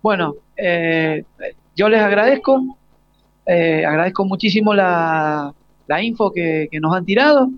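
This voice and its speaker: A man's voice: high-pitched, expressive, a little slow, no echo. An Argentine man hosting an informal live radio interview, asking hesitant questions with repeated starts. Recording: slightly dull, lo-fi live broadcast.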